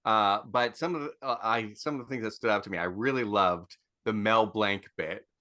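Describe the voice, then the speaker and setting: Bright-voiced man chatting on a podcast. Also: on the low side, moderate pace. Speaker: podcast panelist. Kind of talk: casual review chat.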